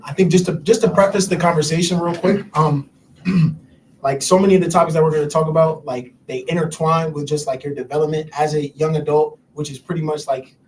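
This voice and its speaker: A man's voice: on the high side, fairly even intonation, a little mellow, far from the mic, a little hiss or hum, poor audio. A young man from Albany, New York, on a youth panel, talking in long run-on sentences full of "like". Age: young adult. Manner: fast, run-on talk full of "like".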